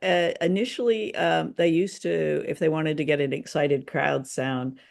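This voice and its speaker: A man's voice: fairly high pitch, fairly even intonation, normal speed, vocal fry. A middle-aged man co-hosting a podcast, casually discussing and explaining things in a chatty way. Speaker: podcast co-host. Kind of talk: casual discussion of a radio drama.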